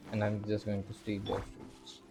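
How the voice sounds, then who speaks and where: A man's voice: lowish voice, completely flat delivery, normal speed, gravelly. A man giving casual playthrough commentary as a gaming streamer, muttering and sarcastic, with some swearing. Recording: game music behind his commentary.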